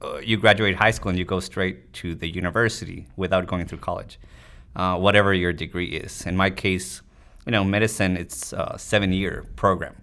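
A man's voice: low voice, steady tone, moderate pace, fairly bright tone. A man from Mexico answering as a physician guest in a conversational interview, explaining things with frequent "you know"s. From Tijuana, Mexico. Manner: explanatory, often tagging points with "you know".

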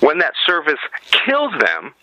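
A man's voice: on the high side, wildly swooping pitch, a little slow, sharp tone, no room sound, rough recording. A man giving blunt, emphatic opinions as a guest in a podcast interview.

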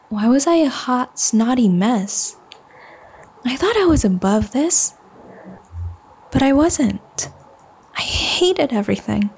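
A woman acting a hurt, bitter young woman in an audio drama, speaking emotionally and often in a breathy hush. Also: on the high side, exaggerated voice. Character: hurt, bitter young woman confronting the man she loves. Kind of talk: first-person audio drama narration and dialogue. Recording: noticeable background noise and ambience.

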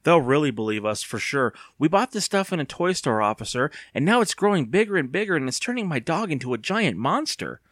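A man's voice: on the high side, sing-song, brisk, hardly any pauses, a bit bright, dry recording, clean studio sound. A man doing an exasperated young boy. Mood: pleading. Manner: pleading, exasperated. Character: frustrated young boy, pushy and glum.

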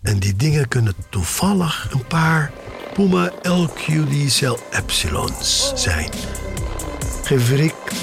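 Passionate collector over loud music. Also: male voice, mid-range voice, gravelly. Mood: wistful. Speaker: voice actor in an audio drama. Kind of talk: dramatic monologue in a radio play.

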